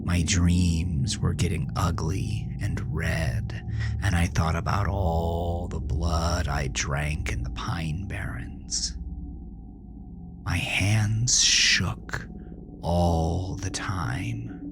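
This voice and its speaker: A man playing a haunted, remorseful young man in a dramatic first-person horror monologue, halting and often sinking to a breathy whisper. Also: low voice, steady tone, music in the background, full character voice. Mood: grim, hushed.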